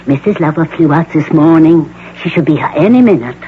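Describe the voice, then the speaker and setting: An actor playing a courteous, formal male doctor with measured, precise diction in a 1980s radio drama. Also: a little high, natural voice. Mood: pleased, courteous. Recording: tinny, noisy old radio broadcast.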